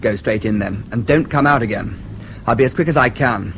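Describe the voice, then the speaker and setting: An actor playing a composed, protective brother in a radio drama, clipped and polite but firm. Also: male voice, mid-range voice, a little fast, gravelly, a bit bright, a little hiss or hum, poor audio. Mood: brisk, concerned. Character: crisp, protective brother, a composed gentleman standing his ground.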